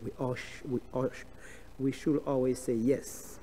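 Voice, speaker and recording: A man with a strong French accent giving a devotional scripture class, speaking in a halting way with frequent hesitations and restarts. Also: mid-range voice, level tone, mellow, husky. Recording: long reverb of a large hall.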